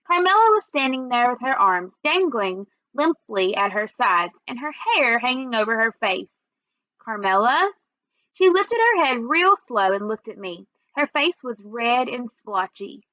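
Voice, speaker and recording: A woman storyteller reading a first-person children's book aloud in an expressive, measured way. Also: fairly high pitch, no echo, muffled recording.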